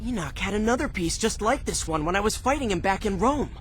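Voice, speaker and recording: A man's voice: high voice, animated, fairly quick, a bit bright, a little hiss or hum. An actor playing a brash, impulsive young male hero in a video game cutscene. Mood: eager. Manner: eager, impulsive.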